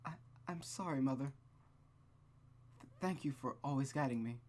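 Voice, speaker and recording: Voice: medium pitch, moderate pace, lightly acted. A player voicing a frail, apologetic young girl with a stammering, timid manner in a visual novel read-through. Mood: meek, apologetic.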